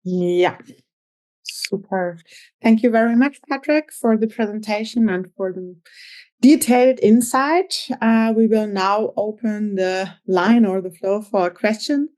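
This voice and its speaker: A woman's voice: medium pitch, unhurried, darkish tone, rough recording. A woman moderating an online investor call as its host.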